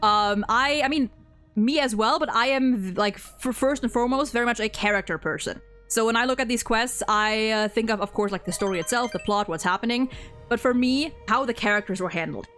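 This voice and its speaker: A woman with a fairly high voice hosts a loose, unscripted chat about video games. She rambles and thinks aloud, breaking her opinions into halting phrases. Faint music plays underneath. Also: a bit bright. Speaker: host of a video game ranking video.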